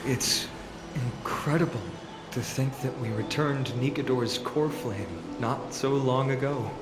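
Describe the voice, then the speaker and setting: A man's voice: mid-range voice, measured pace, lightly acted. An actor playing a courteous, scholarly man in a fantasy video game, polite and eloquent. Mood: awed admiration. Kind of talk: dramatic video game voice acting. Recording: echoey, with music underneath.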